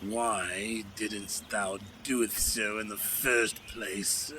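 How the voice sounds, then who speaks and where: A man's voice: lowish voice, level tone, very slow, sharp tone, slight background noise, exaggerated voice. A man playing a pompous, clumsy paladin with theatrical mock-archaic speech in a fantasy comedy audio drama. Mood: pained, indignant. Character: pompous, clumsy male paladin knight, bumbling and boastful.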